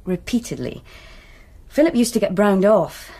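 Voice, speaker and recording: A woman's voice: mid-range voice, big pitch swings, moderate pace, warm tone. A woman playing a guarded young student in a radio drama, answering curtly. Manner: curt, guarded.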